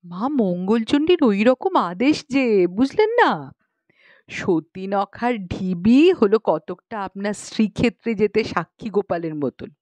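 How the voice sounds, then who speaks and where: A woman's voice: medium pitch, expressive, unhurried, darkish tone, no room sound, lightly acted. A woman voicing a pious, chatty young village matron in an audiobook, explaining things knowingly in colloquial rural Bengali speech with exclamations. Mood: knowing, instructive. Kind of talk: audiobook character dialogue.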